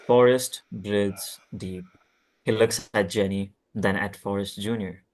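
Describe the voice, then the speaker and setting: A man's voice: fairly low pitch, steady tone, takes it slowly, a little mellow, low-quality recording. A man reading scripted stage directions at a table read, plainly and with occasional stumbles.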